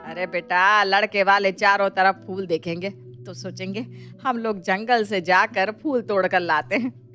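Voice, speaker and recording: A woman playing a naive, old-fashioned middle-aged mother-in-law who fusses and scolds in an animated family story. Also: mid-range voice, measured pace, faint music behind. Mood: naive, pleased. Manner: scolding, fretful, bossy. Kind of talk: animated Hindi moral story acting.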